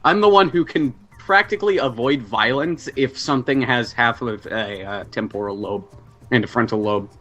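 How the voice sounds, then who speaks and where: A man's voice: medium pitch, moderate pace, a bit bright, lo-fi sound. A man chatting casually as a player in a group tabletop game. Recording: music mixed behind voice.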